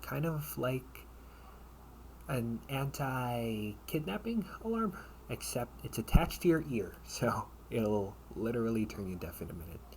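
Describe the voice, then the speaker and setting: A man's voice: medium pitch, big pitch swings, darkish tone. Man acting a menacing older brother, noisy recording. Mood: smug, menacing. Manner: slangy, halting, drawn-out casual menace. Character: protective older brother, casually menacing, sardonic captor. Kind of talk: amateur audio roleplay acting. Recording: noisy amateur home recording.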